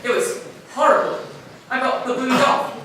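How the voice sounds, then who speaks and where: A wry, placating old granny, acted by a man in a stage pantomime. The voice carries from a distance in a big echoing hall with clear noise. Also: very high-pitched, normal speed, bright, forceful tone. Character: giant's old grandmother, placating, wry and scheming. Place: school stage in a large hall. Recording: distant, very echoey hall, noisy.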